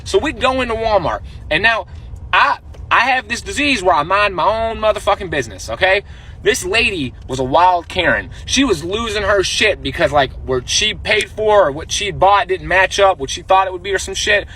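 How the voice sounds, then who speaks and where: A man telling a comedic storytime in a slangy, profane, exasperated way with a husky, rough-edged voice. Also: high voice, lively intonation, moderate pace, bright, forceful tone, low-quality recording.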